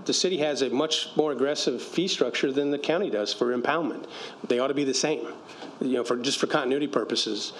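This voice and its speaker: A man's voice: mid-range voice, a little hiss or hum. A middle-aged man speaking firmly at a council meeting, explaining and defending an agreement. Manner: firm, explanatory, quick-talking. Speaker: county official at a council meeting. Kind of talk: council debate. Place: council chamber. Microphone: desk microphone.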